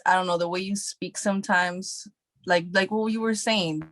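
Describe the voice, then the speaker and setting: A young woman talks in a loose, chatty way, her sentences full of filler likes. Her voice sits low for a woman, and the recording is dry and close with no room sound. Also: steady tone, normal speed, fairly bright tone, dry recording. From New York City. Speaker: guest actress. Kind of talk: casual podcast chat.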